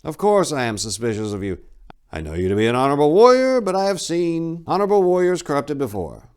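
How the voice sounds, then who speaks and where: A man's voice: fairly high pitch, sing-song, darkish tone, smooth, pitched above the performer's own voice. Man playing a stern, suspicious old abbot, raised voice. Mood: stern suspicion. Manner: probing, measured, stern. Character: aged, suspicious, authoritative monastery abbot. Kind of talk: dramatic fantasy audiobook reading.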